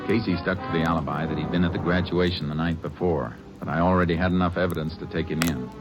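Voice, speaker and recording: An actor playing a calm, deep-voiced Texas Ranger. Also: male voice, over music, lightly acted. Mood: steady. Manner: steady, measured questioning. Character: calm, firm male Texas Ranger lawman. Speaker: narrator and lead character. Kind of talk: 1940s radio drama acting.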